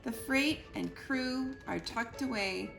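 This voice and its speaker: A woman reads a picture book aloud for children, unhurried and expressive. Her fairly high voice has a noticeable creak, and she leans playfully on the rhymes. The room sounds echoey, with music behind. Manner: deliberate, playful emphasis on rhyming words. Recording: roomy echo with music behind.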